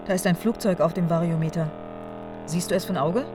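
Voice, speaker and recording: A woman's voice: on the low side, fairly quick, over music, close to an everyday voice. A woman playing a matter-of-fact, obedient airline pilot in radio drama dialogue, terse and controlled. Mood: calm.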